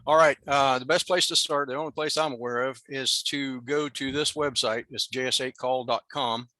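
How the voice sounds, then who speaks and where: An older American man presents informally to a radio club over a video call. His voice is bright and sits at an average pitch, with a dry, close sound. He speaks in an easygoing, self-deprecating way. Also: steady tone, moderate pace, no echo. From Nebraska, USA.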